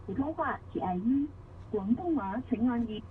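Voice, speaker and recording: A woman's voice: fairly high pitch, animated, moderate pace. A woman reading a scripted automated phone menu greeting over a phone line. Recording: tinny phone line, faint noise.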